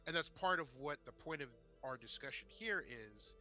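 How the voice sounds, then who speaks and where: A man chats as a co-host in a very bright, forceful voice of middling pitch. His delivery is halting and self-deprecating, starting and restarting. The sound is slightly lo-fi, with faint music underneath. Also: expressive. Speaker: podcast co-host. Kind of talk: casual discussion with anecdotes. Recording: dull narrow-band mic, music underneath.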